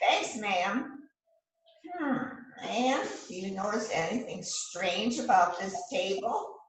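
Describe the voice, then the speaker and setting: A woman's voice: medium pitch, lively intonation. Echoey, theatrical woman acting as a bossy detective. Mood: pompous, musing. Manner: theatrical, emphatic, deliberate. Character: bossy, showy police detective questioning a suspect. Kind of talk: amateur readers theater rehearsal. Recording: roomy, distant mic with faint noise.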